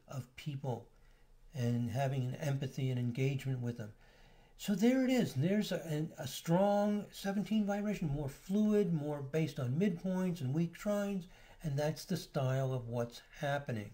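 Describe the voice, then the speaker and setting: Man, lecturer, slideshow talk, relaxed, explanatory, slightly high, mellow tone. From United States. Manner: relaxed, explanatory, with filler words. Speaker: astrology lecturer.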